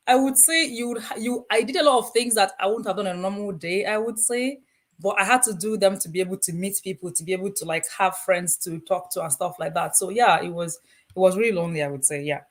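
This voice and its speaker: A woman's voice: mid-range voice, a little fast. A woman talking hesitantly as a guest on a panel discussion, with many fillers.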